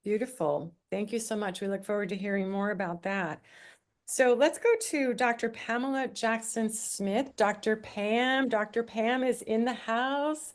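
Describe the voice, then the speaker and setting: A woman's voice: on the high side, a little slow. Upbeat woman moderating a panel.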